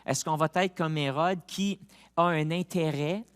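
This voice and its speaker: A man with a Québécois accent, a pastor preaching a sermon who moves from quiet reflection to loud, rising appeals, with chatty personal asides. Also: fairly high pitch, measured pace, clean tone. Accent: Québécois French. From Quebec. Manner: chatty asides with fillers and laughs.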